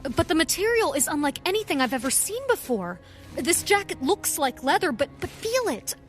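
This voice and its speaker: A plucky, sarcastic heroine, high-pitched and very animated. Her delivery is wry and informal, in radio drama style with faint music behind. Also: moderate pace. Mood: amazed, hesitant.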